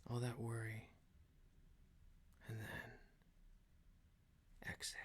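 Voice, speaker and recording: Male ASMR meditation guide, low and creaky, breathy half-whisper, soothing delivery with long pauses. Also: a little hiss or hum. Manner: soothing, hushed, lingering pauses.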